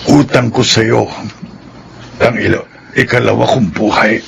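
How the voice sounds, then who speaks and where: Very gravelly, grateful male boss. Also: lowish voice, steady tone, measured pace, slight background noise. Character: grateful, benevolent male boss recovering in hospital. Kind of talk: gentle radio drama acting.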